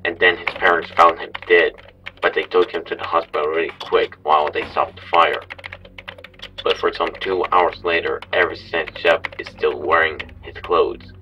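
A man playing a frightened, grim storyteller in a horror audio drama, halting and earnest as he narrates. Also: deep voice, steady tone, lightly acted. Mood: grim, anxious. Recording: distant, muffled, noisy with keyboard clatter.

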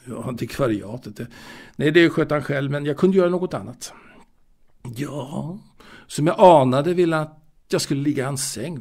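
A male actor playing a wistful, bookish, secretive man who recalls his youth in a halting, confiding audio drama monologue, with a creaky voice. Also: fairly high pitch, a little mellow. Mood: hesitant, matter-of-fact. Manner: hesitant, halting, repeating words.

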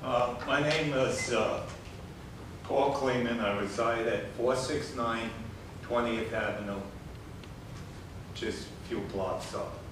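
A man's voice: medium pitch, takes it slowly, pauses often, background noise. An older man with a New England accent making a rambling, blunt public complaint at a city commission podium, with lots of fillers. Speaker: resident giving public comment. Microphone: podium gooseneck mic. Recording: echoey chamber, mic at a distance.

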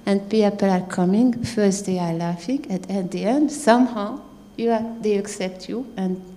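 A woman in her fifties with a low voice, a scientist speaking earnestly on a panel with slightly halting phrasing, holding a handheld mic. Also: mellow, in a large hall. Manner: earnest, proud, halting non-native phrasing. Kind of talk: panel discussion. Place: theater stage at a panel event.

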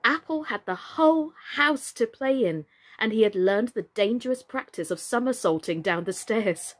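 A woman in her thirties reading a children's story expressively, in a measured and deliberate way. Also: a little high, animated. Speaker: storyteller, actress. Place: small furnished room indoors.

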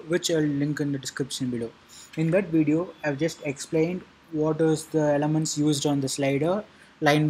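A man narrating an informal software tutorial in a halting, repetitive way, with a husky voice. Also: fairly high pitch, low-quality recording. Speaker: tutorial presenter.

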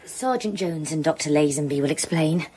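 An actress playing a polite, efficient hotel receptionist in a radio drama. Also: female voice, low voice, moderate pace, heavy background noise, lightly acted. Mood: polite, discreet.

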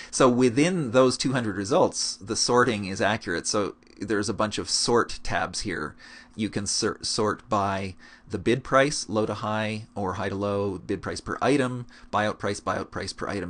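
Low-voiced man explaining a screencast. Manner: relaxed, explanatory, with many fillers. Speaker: game gold-making guide. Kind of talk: screencast walkthrough.

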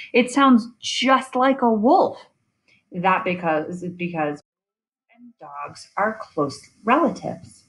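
Slow-reading woman, children's book storyteller. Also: lowish voice, rough recording. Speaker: reader of children's science book. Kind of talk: read-aloud of a children's nonfiction picture book with chatty asides.

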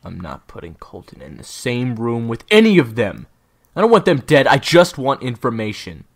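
A man playing a cold, defiant assassin gang leader in an audio roleplay drama, with a husky, gravelly voice. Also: medium pitch, wildly swooping pitch, normal speed, exaggerated voice.

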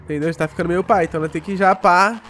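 A man with a high voice who has a cold, so he sounds stuffed up. He talks in loose street slang and breaks into sudden shouts while he plays. Faint music runs under him. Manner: excitable street slang, quick exclamations. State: congested with a cold. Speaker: roleplay streamer. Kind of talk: casual gameplay chat. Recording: music playing behind the voice.

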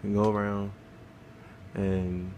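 Low flat-voiced man narrating gameplay. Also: takes it slowly, a little hiss or hum. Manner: laid-back, swears casually, talks through his play. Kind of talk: casual game walkthrough commentary.

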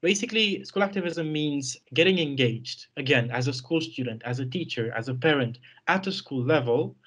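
A man's voice: fairly high pitch, animated, normal speed, a bit bright. A nineteen-year-old man from Romania giving a webinar talk over a video call, earnest and full of fillers and repeated words. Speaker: guest speaker. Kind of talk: webinar presentation.

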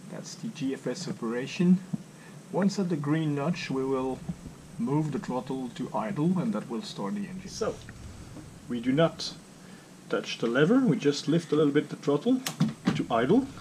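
A middle-aged man with phrasing explains a home-built cockpit calmly and step by step, talking off screen near the camera. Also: mid-range voice, normal speed, low-quality recording. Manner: calm, step-by-step, non-native phrasing. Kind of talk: hands-on demonstration. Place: home workshop with a simulator cockpit. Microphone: camera mic, speaker off screen. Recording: clear background noise.

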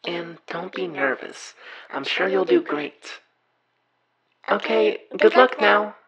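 A woman's voice: on the low side, takes it slowly, muffled recording, crowd noise. A woman playing a friendly, encouraging facility staffer in an audio roleplay, chatty and reassuring.

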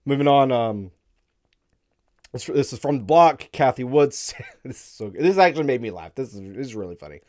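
Man, thirties, hoarse and strained, blunt commentary, wry chuckles, studio mic. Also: medium pitch, animated, moderate pace, a little mellow. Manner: blunt, wry, breaks into laughs. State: hoarse, losing his voice, coughing. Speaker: crypto live-stream host. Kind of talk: casual opinionated commentary. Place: dark studio room, indoors. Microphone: studio mic on stand in front.

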